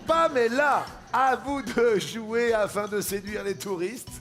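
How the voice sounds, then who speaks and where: A male game show host with a high voice and a theatrical, teasing delivery, presenting a quiz with playful banter. Music plays behind him in the TV studio. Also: slow pace, a bit bright. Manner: theatrical, teasing, breaks into snatches of song.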